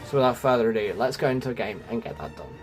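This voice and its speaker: A man's voice: medium pitch, very fast. A man hosting a gaming guide, explaining things step by step to viewers in a scripted narration style. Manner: explanatory, addresses viewers as folks. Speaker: gaming video host. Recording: music under the voice. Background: music.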